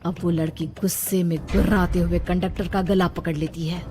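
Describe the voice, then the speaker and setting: A woman's voice: fairly low pitch, flat delivery, moderate pace, dark tone. A woman narrator reading a scripted horror story in a storytelling style. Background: music.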